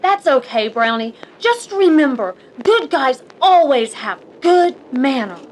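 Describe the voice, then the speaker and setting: An earnest, prim superhero from a children's show who lectures about manners. The voice is very high and bouncy, with drawn-out delivery. A faint hum lies under it. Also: female voice, sing-song, poor audio. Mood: chiding. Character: wholesome female kids' show superhero, prim and preachy.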